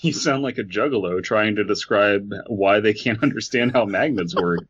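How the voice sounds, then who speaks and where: A man's voice: fairly low pitch, moderate pace, fairly bright tone, husky, highs cut off. A man co-hosting a podcast in casual, teasing banter. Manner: teasing, chuckles through his remarks. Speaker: podcast co-host.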